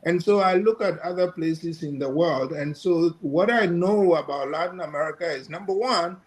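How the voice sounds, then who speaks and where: An older man from Ghana, a guest professor giving measured, hesitant commentary. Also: fairly high pitch, poor audio. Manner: measured, hesitant with many fillers.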